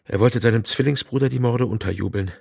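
Grim cop in radio play, gravelly and husky, matter of fact, dry close sound. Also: male voice, mid-range voice, fast, darkish tone, no room sound. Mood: grim, frustrated. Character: male police detective, grim, frustrated, matter-of-fact. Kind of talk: German audio drama acting.

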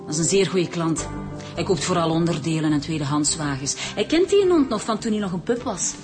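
A woman's voice: on the low side, brisk, over music, close to an everyday voice. A woman with a Flemish accent playing a polite, obliging garage employee in a naturalistic radio crime drama. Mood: chatty, informative. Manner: polite, expressive.

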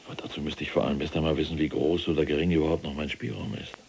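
An actor playing a cold, calculating SS general awaiting trial in a radio drama, speaking in a deliberate, formal, self-serving manner with a gravelly, husky voice. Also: male voice, rumbling low, level tone, darkish tone, slight background noise.

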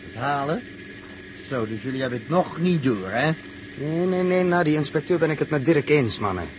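A man's voice: fairly high pitch, expressive, normal speed, natural voice. An actor playing a suspicious, grumbling crewman in a 1960s Dutch radio drama, speaking with edgy emphasis. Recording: noisy 1960s radio recording.

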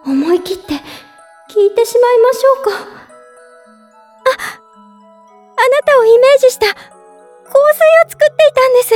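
A woman playing a gentle, polite young woman in love, narrating in character for a drama CD with sweet earnestness. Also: extremely high pitch, expressive, a little fast, soft background music. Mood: flustered, hopeful.

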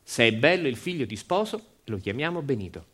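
A man's voice: medium pitch, very animated, a little fast, a bit bright. A man playing an arrogant, vain factory boss in a stage story, with a Roman accent and a blustering, repetitive, emphatic way of bargaining. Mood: boastful bargaining.

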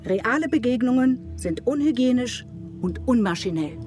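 An actress in a German radio play playing a detached, irritable mother and lecturer, speaking in a measured, formal, didactic way. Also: fairly high pitch, animated, over loud music, close to an everyday voice. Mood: cool, disapproving. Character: Vashti, detached, irritable mother and lecturer in machine-run future.

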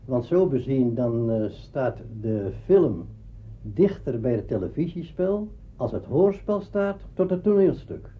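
A man's voice: medium pitch, sing-song, unhurried. A man talking spontaneously and emphatically in an interview. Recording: noisy phone-like archival recording.

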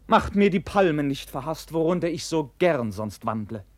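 An actor playing a brusque, proud young knight in a 1950s German radio play, with curt stage diction. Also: male voice, a little high, very animated, normal speed, no room sound. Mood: scornful, irritated.

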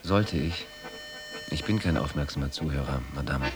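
Arrogant, very deep-voiced man. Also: normal speed, over loud music. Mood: cold, haughty. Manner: haughty, terse, probing questions. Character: arrogant, needling male client, cold and demanding. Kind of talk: radio drama acting.

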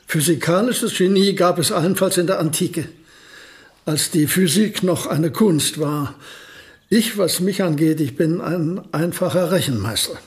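An actor playing a famous elderly physicist in a radio drama, speaking in a measured, pedantic and earnest way. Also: male voice, a little high, lightly acted. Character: famous elderly male physicist, precise, proud, fussy.

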